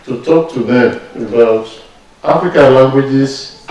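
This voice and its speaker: Nigerian man, Yoruba native speaker, unhurried emphatic public speaker, heavy room echo. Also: medium pitch, darkish tone. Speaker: workshop speaker. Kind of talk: public address. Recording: very echoey room, distant mic.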